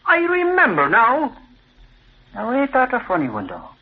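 A man playing an excitable, folksy sidekick in an old-time radio crime drama, eager and colloquial with plenty of exclamation. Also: very high-pitched, normal speed, a little hiss or hum, heavily acted. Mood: excitable, earnest. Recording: muffled vintage radio recording.

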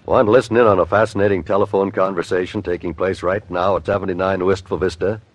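A man's voice: low-pitched, fairly even intonation, quick pace. A man announcing a mid-century radio comedy show in period style. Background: music.

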